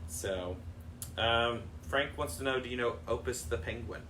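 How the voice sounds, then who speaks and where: A woman's voice: rumbling low, a little slow, heavy background noise. A woman co-hosting a casual improvised chat and reading out viewer questions.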